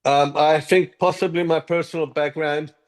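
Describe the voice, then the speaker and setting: A man's voice: a little high, steady tone, moderate pace. A man from Hungary, an app co-founder, giving earnest interview answers with frequent ums and restarts.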